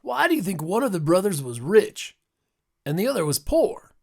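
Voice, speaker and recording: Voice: mid-range voice, big pitch swings, moderate pace, creaky, a little mellow. A lesson presenter reading scripted discussion questions aloud.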